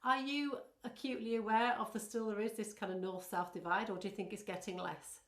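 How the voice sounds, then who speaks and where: A woman with a northern English accent hosts a podcast interview, encouraging her guest with her questions. Her mid-pitched voice carries a roomy echo. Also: steady tone, fairly quick. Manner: warm and encouraging, asking questions. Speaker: podcast host.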